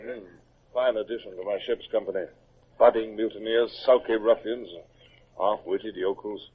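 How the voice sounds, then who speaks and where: An actor playing a stern but humane British naval captain in an old-time radio drama, with a commanding, authoritative manner. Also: male voice, fairly low pitch, steady tone, moderate pace, breathy edge. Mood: brooding, reflective. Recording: muffled, narrow old radio recording.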